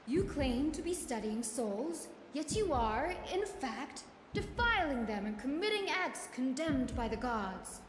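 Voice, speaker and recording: A woman voicing a stern, accusing scholar in a video game, questioning in a formal, slow and deliberate way. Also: high voice, very animated, a little hiss or hum. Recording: echoey reverb with music behind.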